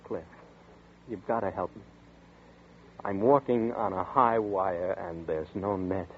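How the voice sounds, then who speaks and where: A man's voice: sits low, takes it slowly, narrow, tinny audio. An actor playing a frightened young man who narrates and stammers nervously, in 1940s radio style. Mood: desperate. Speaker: narrator and lead. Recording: scratchy 1940s radio broadcast.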